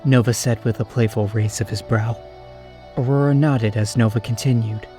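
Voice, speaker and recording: A man's voice: medium pitch, steady tone, moderate pace, dark tone. A man narrating a scripted story as the narrator of an audio drama. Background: faint music.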